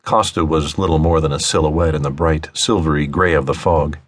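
A man narrating an audiobook story in a measured, deliberate storytelling manner. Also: very deep voice, fairly even intonation, slightly rough, no room sound. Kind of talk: scripted fiction narration.

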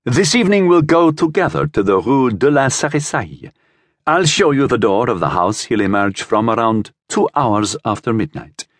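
A man voicing an impatient, insinuating gentleman schemer in an audiobook adventure tale. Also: medium pitch, expressive, moderate pace, no echo, clean studio sound, natural voice. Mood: smooth, businesslike. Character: smooth impatient cloaked gentleman hiring a killer.

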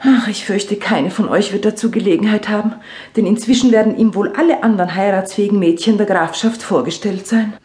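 An actress playing an excitable, theatrical middle-aged mother bent on marrying off her daughters, in a radio play. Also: mid-range voice, fairly quick, roomy sound, natural voice. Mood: indignant, lamenting. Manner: effusive, theatrical exclamations and scolding. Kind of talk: German radio play acting.

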